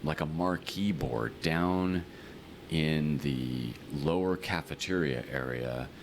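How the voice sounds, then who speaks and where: A man co-hosts a podcast in easygoing, casual chat. His voice is extremely deep, and he lays out practical details in a matter-of-fact, step-by-step way. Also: takes it slowly, a little hiss or hum. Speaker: podcast co-host.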